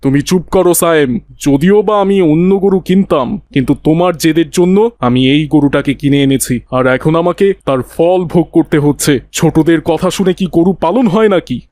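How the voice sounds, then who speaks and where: Guy doing a cranky cartoon village father, scolding, voice a bit high, very dry sound. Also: normal speed, darkish tone, dry recording, lightly acted. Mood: angry, grumbling. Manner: grumbling, scolding. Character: stern, grumbling village father, irritable and doubtful. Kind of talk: cartoon voice acting.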